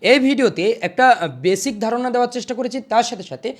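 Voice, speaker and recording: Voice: mid-range voice, expressive, brisk. A tutorial host narrating screen steps in an instructional way, repeating each step before moving to the next.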